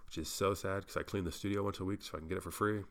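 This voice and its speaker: Low gravelly-voiced man rambling. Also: fairly even intonation, rapid-fire, a bit bright. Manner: rambling, swears casually, self-interrupting. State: congested. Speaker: podcast host. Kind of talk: casual solo podcast monologue.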